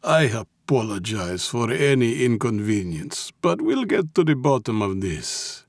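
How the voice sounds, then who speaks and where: A man's voice: medium pitch, sing-song, measured pace, no echo, clean studio sound, voice pushed up. A man voicing a suave Russian mob boss in an audiobook, with a clipped accent and pleasant, condescending politeness. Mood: smooth, falsely kind. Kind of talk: audiobook character acting.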